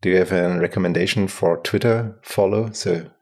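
Gravelly German-accented host, very deep. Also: male voice, steady tone, moderate pace. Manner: friendly, encouraging questions. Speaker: podcast host. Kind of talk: casual interview.

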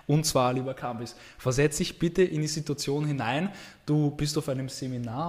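A man hosting a podcast interview, upbeat and enthusiastic, with frequent affirmations. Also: medium pitch. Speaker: podcast host.

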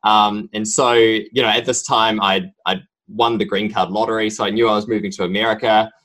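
Deep-voiced New Zealand man, halting. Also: little pitch movement, a bit bright. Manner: halting, rambling storytelling full of ums. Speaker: podcast guest. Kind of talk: casual interview talk.

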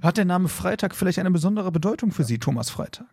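A man's voice: high voice, lively intonation, quick pace, vocal fry, a little mellow, breathy edge. A man chatting casually as a podcast co-host. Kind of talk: casual chat.

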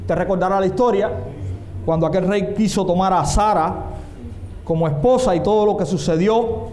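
A man's voice: high voice, normal speed, darkish tone. A man preaching a sermon, emphatic and often asking the congregation questions. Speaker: pastor. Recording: echoey room with faint noise.

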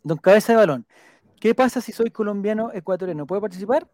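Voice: mid-range voice, quick pace, darkish tone, no room sound. Chilean streamer host chatting casually, jokey n rambly, reading out comments, really dry room. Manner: rambling, repeats names while jotting them down; playful, joking.

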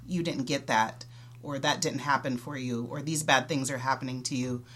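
A woman's voice: very deep voice, fairly even intonation, moderate pace, a bit bright. A woman in her forties, a podcast guest speaking earnestly and openly, laughing easily. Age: 40s. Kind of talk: casual chat.

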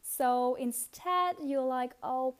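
A young woman with a French accent, talking through listening tips on her own podcast in a relaxed, chatty way with many fillers and repeated words. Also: sits high, slow. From France. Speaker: podcast host. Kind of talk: casual solo podcast advice.

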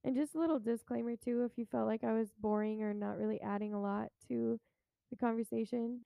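A woman co-hosting a podcast while stuffed up with a head cold and tired, giving brief, low-key remarks. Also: fairly even intonation, brisk, creaky, warm tone, dry recording. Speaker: podcast co-host. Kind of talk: casual movie review chat. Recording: tinny phone-like sound.

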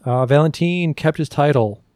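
A man's voice: a little high, moderate pace, darkish tone, no echo. A man co-hosting a podcast in casual banter, reading out facts between asides, irreverent and casually profane. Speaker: podcast co-host.

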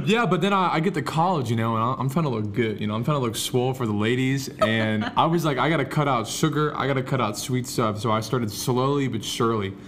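A man's voice: medium pitch, brisk, hardly any pauses, fairly bright tone. A young American man from the D.C. area co-hosting a podcast in a casual, playful, slangy way. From Washington, D.C. area, United States. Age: young adult. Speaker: podcast co-host and barista. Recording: faint background noise.

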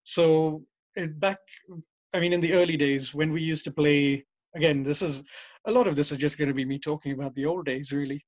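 A man's voice: fairly high pitch, fairly even intonation, a little fast, no room sound, low-quality recording. A man being interviewed, telling long stories about the old days and often correcting himself mid-sentence. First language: English. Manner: rambling, self-correcting storyteller. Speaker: guest. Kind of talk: casual interview over a call.

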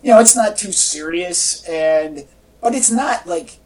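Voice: medium pitch, lively intonation, a little slow, a little mellow. A podcast co-host chatting casually and giving opinions about movies, rambling and often restarting phrases. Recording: echoey, distant-sounding home podcast audio.

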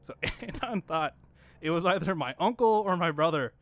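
A young man co-hosting a podcast, telling animated stories and laughing often. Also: fairly high pitch, moderate pace, dry recording. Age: young adult. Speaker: podcast co-host. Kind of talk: casual chat.

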